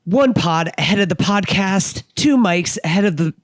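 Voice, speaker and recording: A man with a hoarse voice, co-hosting a casual movie review podcast and rambling animatedly. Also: sits high, normal speed, no echo. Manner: rambling, animated, laughs often. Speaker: podcast co-host.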